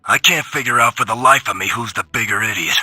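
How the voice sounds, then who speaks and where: A sardonic robot soldier from a video game. The voice is sharp and raspy, gruff and full of wry complaint, recorded very dry and close. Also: male voice, medium pitch, fast talker, no room sound, faint music behind. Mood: disgruntled. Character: grumbling, sardonic male robot soldier giving war reports. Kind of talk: video game audio log acting.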